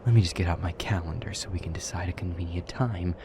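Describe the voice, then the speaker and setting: A man playing a brooding, wounded demon lover in an intimate audio roleplay, speaking in a hushed, breathy, measured way. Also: deep voice, noisy background, natural voice. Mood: bitter, sarcastic.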